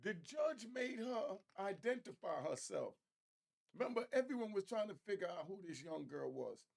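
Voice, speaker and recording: A man's voice: sits high, sing-song, normal speed, vocal fry. A man giving informal, emphatic reaction commentary as a show host, often repeating phrases for effect.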